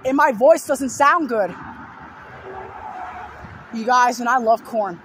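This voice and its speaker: A hoarse young man with a sore throat rambling through repeated simple lists in a casual vlog, filmed outdoors beside a road on a phone held at arm's length. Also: extremely high pitch, takes it slowly, bright, forceful tone. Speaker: vlogger. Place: outdoors on a fenced overpass beside a busy road. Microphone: phone held low at arm's length. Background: faint passing traffic.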